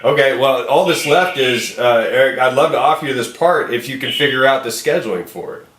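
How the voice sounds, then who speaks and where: Male film director, mid-pitched and encouraging, chatty informal delivery, roomy echo on the voice. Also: brisk, a bit bright. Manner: encouraging, informal, frequent "man" and "cool". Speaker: film director casting an actor. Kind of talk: casual phone call and talk to viewers. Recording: roomy, echoey room sound.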